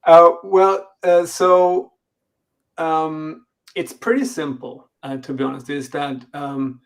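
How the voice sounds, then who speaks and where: A man's voice: fairly high pitch, slow pace. A middle-aged man with a Swedish accent, a company executive answering interview questions over a video call, hesitant with lots of fillers and restarts. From Sweden. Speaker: games company executive guest.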